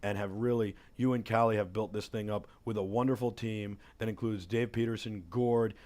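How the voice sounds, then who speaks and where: A man in his thirties hosting a talk show in a studio, hoarse from a cold, talking with upbeat energy into a headset mic. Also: on the low side, moderate pace. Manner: energetic, enthusiastic promo patter. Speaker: host. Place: studio with city backdrop.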